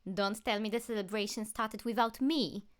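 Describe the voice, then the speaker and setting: A woman playing a cocky, playful teenage boy superhero in an audiobook, calling out loudly. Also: medium pitch, moderate pace, voice pushed up, close to an everyday voice. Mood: teasing.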